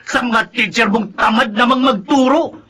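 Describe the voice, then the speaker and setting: An actor with a Filipino accent playing a cocky, jeering older brother and carefree gambler in a radio drama. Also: male voice, very high-pitched, normal speed, bright, forceful tone, a little hiss or hum. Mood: mocking. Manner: brash, jeering.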